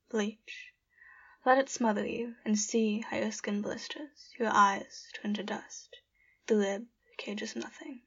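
A woman's voice: on the high side, husky, muffled recording. A young woman introducing and reading a poem she wrote. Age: young adult. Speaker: poet.

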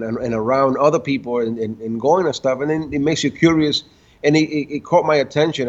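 A man in his fifties, from the Dominican Republic and raised in Puerto Rico, tells his story slowly and haltingly, restarting words as he goes. His voice is mid-pitched. The recording sounds muffled and lo-fi. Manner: halting, many restarts, laughs between stories. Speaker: guest, car builder and tuner. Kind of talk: casual interview storytelling. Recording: dull lo-fi sound.

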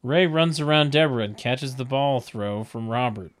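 A man's voice: mid-range voice, moderate pace. A man reading stage directions at a comedic table read, with wry, mocking asides.

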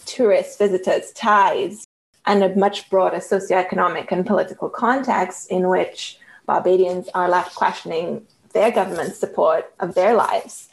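A woman's voice: mid-range voice, vocal fry, low-quality recording. A woman from Trinidad with a Trinidadian accent, a graduate researcher telling her fieldwork story in a reflective, articulate way. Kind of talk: conversational interview storytelling.

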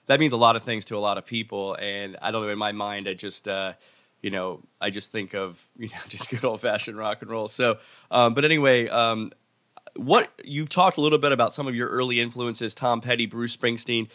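Brief: male podcast host, chatty, hesitant, low voice, dry close sound. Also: fairly even intonation, a little fast, pushed and bright, no room sound. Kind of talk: casual interview chat.

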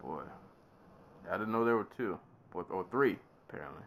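A man's voice: sits low, lively intonation, moderate pace, gravelly, a bit bright, slight background noise. A man hosting a casual podcast while congested with a summer cold, laughing and coughing often. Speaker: podcast host.

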